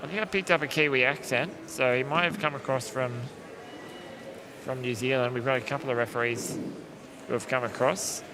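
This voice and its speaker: Man A man calls a live match, calmly explaining tactics and often restarting his sentences as he thinks aloud. His voice sits at an ordinary middle pitch. Steady noise runs under the stream. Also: fairly quick. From Australia. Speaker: sports commentator. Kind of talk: live sports commentary.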